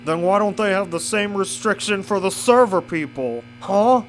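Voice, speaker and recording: Man playing a bitter, high-voiced worker pony. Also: animated, moderate pace, soft background music. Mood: challenging, agitated. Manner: challenging, conspiratorial. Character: bitter, suspicious male maintenance pony, drunk and grieving. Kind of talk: scripted audio drama.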